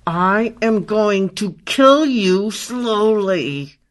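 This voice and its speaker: A woman acts out a haughty teenage dark-side apprentice in a space fantasy audio drama. She speaks slowly at a middling pitch, with an imperious, scornful and threatening manner. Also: expressive. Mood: menacing. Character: haughty, menacing, gloomy teenage female Sith apprentice. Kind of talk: science-fiction audio drama acting.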